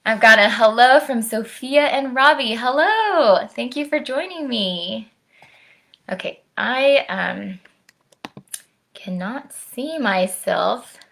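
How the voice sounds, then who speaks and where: A woman, around her thirties, speaking to young children in an expressive storytime style, slowly and directly, recorded at home on a device microphone. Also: mid-range voice, gravelly. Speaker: storytime presenter, orchestra musician. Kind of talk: children's read-aloud and friendly chat to camera. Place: at home, indoors.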